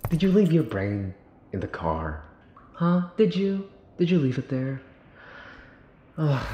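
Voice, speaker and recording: A man's voice: mid-range voice, warm tone. A man playing a mafia boss's son in an ASMR roleplay, possessive and menacing, with theatrical sarcasm and mocking politeness. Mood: exasperated anger. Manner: theatrical, sarcastic, deliberate repetition, sighing. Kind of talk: ASMR boyfriend roleplay acting. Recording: roomy echo, faint background noise.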